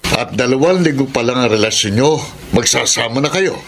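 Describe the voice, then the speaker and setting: An older Filipino father in a radio soap, scolding and incredulous. His pitch is average. There is clear noise and ambience around the voice. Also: animated, fairly quick, a bit bright. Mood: disapproving. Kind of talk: Filipino radio drama acting. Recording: noisy radio drama with background ambience.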